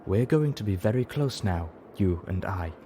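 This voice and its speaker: A man voicing a zebra companion who translates a silent speaker's words in a measured way. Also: low voice, expressive, dark tone, a little hiss or hum. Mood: solemn. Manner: measured, translating speech line by line. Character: zebra companion reading lips aloud.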